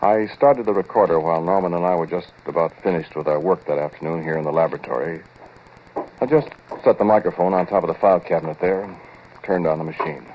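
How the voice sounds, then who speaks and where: Deep, brooding man narrating old radio horror, acted. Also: quick pace, a little hiss or hum, natural voice. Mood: confiding. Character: haunted male scientist narrator, confiding and ominous. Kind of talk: 1940s radio acting, first-person horror narration. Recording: muffled old radio recording over music. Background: music.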